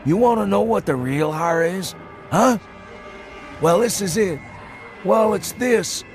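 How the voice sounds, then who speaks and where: High-pitched, drawling old drunken seaman. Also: full character voice. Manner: conspiratorial, folksy, drawn-out delivery. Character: old drunkard weather-beaten seaman, secretive, rambling. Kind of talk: dramatic audiobook reading.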